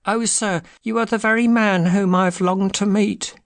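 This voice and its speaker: A man's voice: high voice, measured pace, a bit bright, voice pushed up. A man voicing a cringing, nervous little man with a thin, whining, quavering voice in an audiobook story. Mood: nervous, eager. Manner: timid, pleading.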